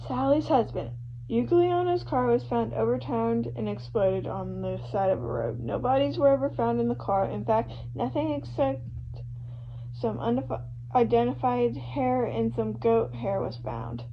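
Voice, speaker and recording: A woman reading fan fiction aloud in an informal amateur style, animated as she moves between narration and dialogue. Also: a little high. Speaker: amateur podfic reader. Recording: muffled lo-fi home recording with hum, hiss.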